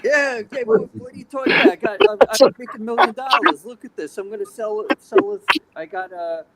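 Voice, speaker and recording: A man putting on a pushy old Lower East Side New Yorker who wisecracks and haggles like a street peddler, in a put-on New York accent. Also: a little fast, over a noisy crowd. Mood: huckstering. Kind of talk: comic improv bit on a chat stream.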